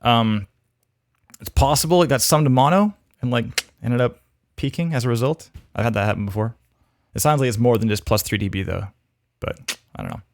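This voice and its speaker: Gravelly man giving frank critique. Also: medium pitch, fairly quick, no room sound, clean studio sound. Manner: thinking aloud, relaxed and frank. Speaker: host reviewing demo reels. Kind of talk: casual critique commentary.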